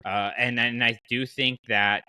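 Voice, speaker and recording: A man hosting a casual fan podcast, talking in an animated, colloquial way and explaining his reasoning at length. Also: mid-range voice, a little slow, clean tone, no room sound. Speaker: podcast host.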